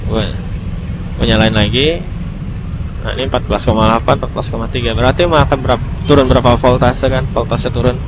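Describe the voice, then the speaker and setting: A man's voice: medium pitch, fairly even intonation, fast. A man with a casual Jakarta-area Indonesian accent, explaining gear in a colloquial, rambling motorcycle vlog. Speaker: motorcycle vlogger. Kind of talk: casual vlog review, explaining while riding. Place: outdoors on a motorcycle by a road. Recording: muffled, clearly noisy outdoor motorbike recording.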